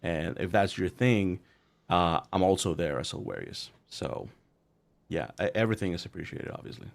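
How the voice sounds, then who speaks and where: A very deep-voiced man whose first language is Spanish, talking casually as a guest on a video call. He hesitates and restarts often. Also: expressive, moderate pace, vocal fry. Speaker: guest writer. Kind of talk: casual interview talk.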